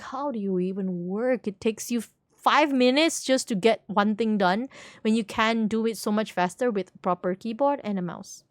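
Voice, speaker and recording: A woman's voice: medium pitch, no echo, studio quality. A woman livestreamer chatting casually with her viewers, rambling along, repeating words and searching for terms. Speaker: game livestreamer.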